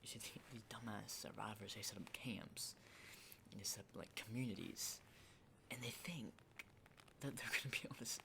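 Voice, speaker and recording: A man playing a jittery, hardened zombie apocalypse survivor in a whispered ASMR roleplay, halting and repeating himself insistently in a breathy voice. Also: on the low side. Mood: scornful, insistent. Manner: halting, repetitive, insistent, often checking with "okay?". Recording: clearly noisy close whisper recording.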